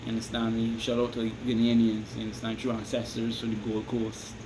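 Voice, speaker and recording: A man with a Barbadian accent talks loosely and at a middling pitch, circling back on himself and checking in with the listener. A steady hiss and air-conditioner hum sit under his voice. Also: fairly quick. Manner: rambling, repeats "you understand me?", restarts often. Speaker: vlogger giving advice. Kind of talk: casual advice monologue to camera.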